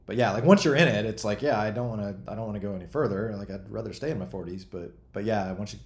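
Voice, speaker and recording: A man's voice: lowish voice, fast. Echoey, casual man in his forties. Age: 40s. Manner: rambling, self-deprecating, thinks out loud. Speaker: host and game developer answering chat questions. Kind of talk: casual freeform chat.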